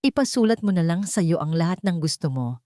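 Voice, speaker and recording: A woman's voice: on the low side, lively intonation, fairly quick, dark tone, no room sound, studio quality, lightly acted. A woman voicing a rich elderly matriarch in a story, placating with strained sweetness. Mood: forced sweetness. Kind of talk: storybook drama.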